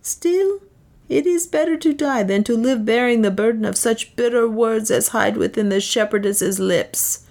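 A woman voicing a grave, fearful headman, giving his lines higher and in a formal, solemn manner. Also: moderate pace, gravelly, a little mellow. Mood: resolute.

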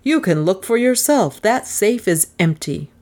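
A woman's voice: medium pitch, big pitch swings, a little slow, a little mellow, pitched above the performer's own voice. A woman reading a sullen evasive doctor. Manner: sullen, defensive. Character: sullen cornered male doctor.

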